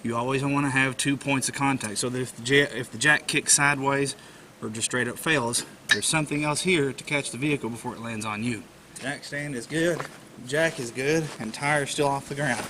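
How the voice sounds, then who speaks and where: A man's voice: mid-range voice, normal speed, noisy background. A man with a slight head cold, explaining a car repair step by step in a plainspoken, patient way with frequent fillers. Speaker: DIY car repair presenter. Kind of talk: casual how-to walkthrough.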